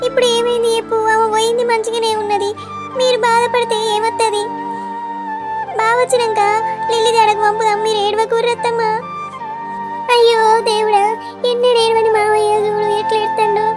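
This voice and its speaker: A woman acting as a caring young daughter-in-law in a village drama. Her tiny, extremely high voice pleads in Telangana Telugu with little pitch movement, over clear music. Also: level tone, a little fast, long pauses, no room sound. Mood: anxious consoling. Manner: pleading, consoling.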